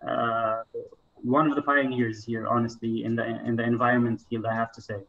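A man's voice: mid-range voice, flat delivery, a little fast, phone-quality sound. A man from Egypt speaking casually and earnestly as an interview guest, thinking aloud as he talks. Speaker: interview guest, climber and environmental advocate.

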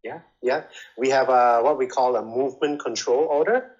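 A man answering interview questions casually, pausing and repeating words as he explains. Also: mid-range voice, darkish tone, dull audio. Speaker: interviewee, language school manager.

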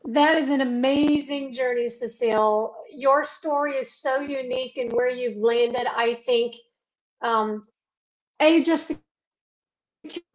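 High-voiced woman moderating a video panel. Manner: encouraging, asks guests questions. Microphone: video call. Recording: dull lo-fi video call audio.

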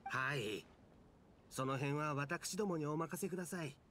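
An actor playing a polite, obliging clan attendant in an anime game, speaking formally. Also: male voice, a little high, a little slow, a bit bright, slight background noise. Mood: assured. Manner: formal, courteous. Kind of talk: Japanese anime game dialogue. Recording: faint music behind.

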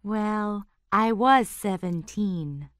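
A woman voicing a friendly young woman in a scripted dialogue for language learners, speaking slowly and carefully. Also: mid-range voice, a bit bright, clean tone, dry recording, clean studio sound. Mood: calm. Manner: slow, carefully spoken.

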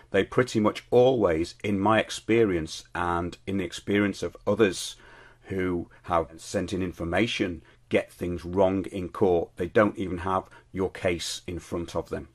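A middle-aged British man presenting his show as host, reading scripted commentary in a measured, declarative way. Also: deep voice, fairly even intonation.

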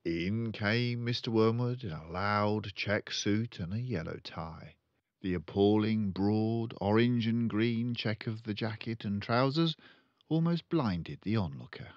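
An older man reading a children's book aloud as a storyteller, with deliberate, expressive phrasing. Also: fairly low pitch, no echo, dull audio. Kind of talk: children's audiobook narration.

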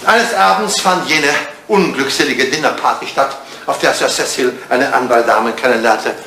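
An actor playing an elderly, devoted English butler in a 1980s German radio drama, speaking formally and deferentially with dry understatement. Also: male voice, mid-range voice, moderate pace, close to an everyday voice. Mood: grave, narrating. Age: older. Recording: roomy, noisy old radio play recording.

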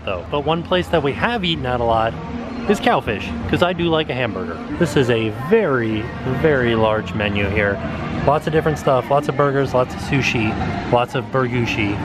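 A man narrating a walking tour of an outdoor shopping and dining area, chatty and pointing things out as he walks, recorded on a handheld camera. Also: on the high side. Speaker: vlogger giving a walking tour.

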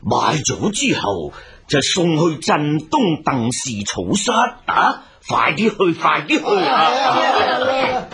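A man's voice: on the high side, sing-song, slow pace, pushed and bright, slightly rough, full character voice. An actor playing an anxious, deferential older father and rich household master in a Cantonese radio drama, exclamatory and fretful, with a Hong Kong accent. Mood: urgent, commanding. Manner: fretful, exclamatory, humble to the healer.

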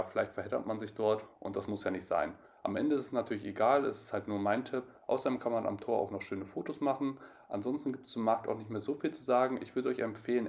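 Fast-talking man vlogging. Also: lowish voice. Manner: chatty, relaxed, many fillers. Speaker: travel vlogger. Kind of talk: casual travel vlog voice-over.

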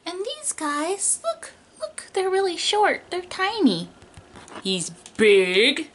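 A woman's voice: very high voice, huge pitch swings, slow pace, a bit bright. A young adult woman, a preschool teacher doing a picture book read-aloud in an exaggerated, child-directed way, stretching key words.

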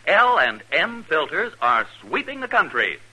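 A man's voice: fairly high pitch, big pitch swings, measured pace, over music, poor audio. An American man reading a 1950s radio advertisement as a commercial announcer. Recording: vintage 1950s radio broadcast.

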